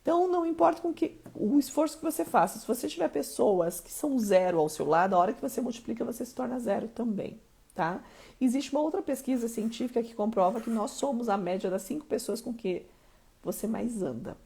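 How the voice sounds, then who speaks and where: Woman coach from Mato Grosso do Sul, Curitiba accent, emphatic and checking in with viewers; husky, slightly high. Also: animated, brisk, warm tone. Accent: Curitiba Portuguese. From Mato Grosso do Sul, Brazil. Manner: emphatic, keeps checking in with listeners. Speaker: live-stream coach. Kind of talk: motivational live-stream teaching.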